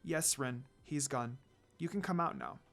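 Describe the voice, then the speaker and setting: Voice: medium pitch, measured pace, fairly bright tone, faint music behind, pitched below the performer's own voice. A reader voicing a firm, outspoken young woman who is kind but easily exasperated. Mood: reassuring.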